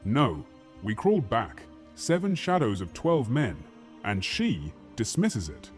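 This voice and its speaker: A man's voice: mid-range voice, huge pitch swings, slow. Guy doing a crude battle-hardened castle soldier, fantasy audio drama, swings all over, bit of music behind. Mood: bitter, angry. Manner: crude, forceful, swearing.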